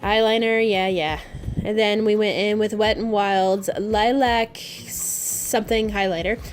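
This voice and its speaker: A woman's voice: medium pitch, fairly even intonation, unhurried, faint music behind. A woman narrating a casual makeup tutorial in a playful, gushing, informal way.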